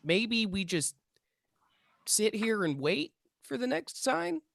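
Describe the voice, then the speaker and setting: A man's voice: high-pitched, big pitch swings, slow pace, creaky, fairly bright tone, dry recording, clean studio sound, full character voice. A man playing an intense, earnest young amateur paranormal investigator in a script read, self-important and conspiratorial. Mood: insistent, solemn. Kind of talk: table read of a TV pilot script.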